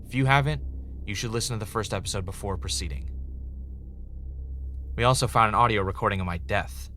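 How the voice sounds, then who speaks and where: A man acting as a wry, uneasy podcast host in an audio drama, with a casual, halting, conversational delivery. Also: on the low side, pushed and bright, a little hiss or hum. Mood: uneasy, searching. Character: Ray, wry, uneasy male podcaster investigating his own death.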